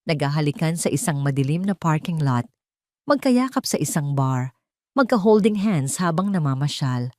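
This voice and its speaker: A woman narrates a scripted drama. Her voice sits low, and the recording is close and dry, with no room sound. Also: animated, moderate pace, a little mellow, dry recording.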